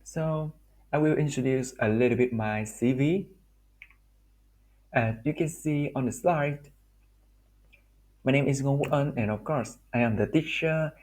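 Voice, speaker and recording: A Vietnamese-accented man walks through his slides as a language teacher. His English is halting and broken, and he often restarts phrases. His voice sits at an ordinary middle pitch for a man. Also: a little mellow. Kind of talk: recorded slide presentation.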